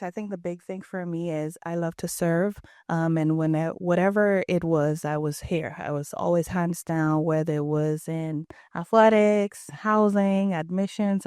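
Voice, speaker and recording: A young woman from the Bahamas with a Bahamian accent, a track coach answering interview questions in an earnest, steady way. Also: on the low side, clear, resonant tone, no echo, clean studio sound. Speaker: head track and field coach, guest.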